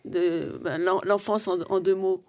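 A radio host asking the guest short, halting follow-up questions. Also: mid-range voice, gravelly. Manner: brief prompting questions, halting. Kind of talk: radio interview.

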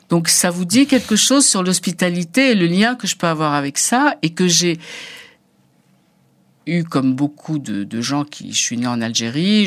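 A woman speaking as an interview guest, hesitant and reflective, with many restarts. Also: low-pitched. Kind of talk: personal storytelling in an interview.

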